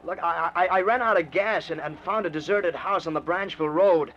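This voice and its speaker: Nervous everyman in peril: high voice, hesitant stammering delivery, dull old radio sound. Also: fairly quick, fairly bright tone. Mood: uneasy, sheepish. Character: nervous stranded husband alone in a haunted house. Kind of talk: old-time radio suspense acting. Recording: muffled, noisy old radio recording.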